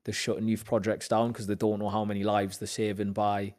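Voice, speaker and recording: A young man with a Newcastle accent tells his story as a podcast guest, his voice sitting on the low side. He brings the story to life by acting out what other people said and lifts his pitch when he gets excited. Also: fairly quick. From Zambia. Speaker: podcast guest, actor and musician. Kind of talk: casual storytelling chat.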